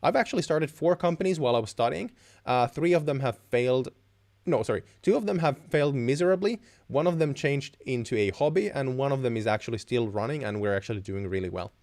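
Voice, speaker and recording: A man's voice: mid-range voice, expressive, quick pace, darkish tone. Young male stream host, native Finnish speaker with Finnish accent, informal explanatory Q&A delivery, often rephrasing himself. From Finland. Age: young adult. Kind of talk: informal Q&A advice.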